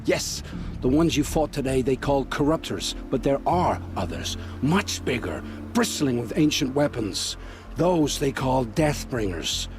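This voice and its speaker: An actor voicing a haunted, grim male scavenger who tells dark stories with intensity, in a video game. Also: mid-range voice, big pitch swings, a little slow, music in the background. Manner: intense, dramatic storytelling.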